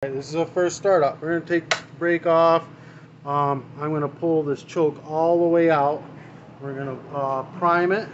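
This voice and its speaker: A man's voice: fairly high pitch, steady tone, moderate pace, muffled recording. A man, a small engine mechanic, talking casually through a hands-on repair in his workshop. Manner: casual, talks while working. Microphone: camera mic, speaker off-frame. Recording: noisy workshop, engine running. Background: engine and shop noise.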